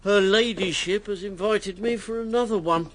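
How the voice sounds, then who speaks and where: An actor playing a bitter, hard-drinking army major and politician in a radio drama, sardonic, self-mocking and brash. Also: male voice, high voice, moderate pace, slight background noise, lightly acted.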